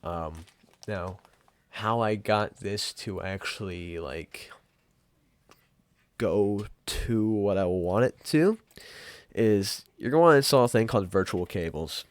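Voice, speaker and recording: A man giving a casual, off-the-cuff software tutorial in an offhand, rambling and informal way. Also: lowish voice, expressive, slow pace, no echo. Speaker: tutorial presenter.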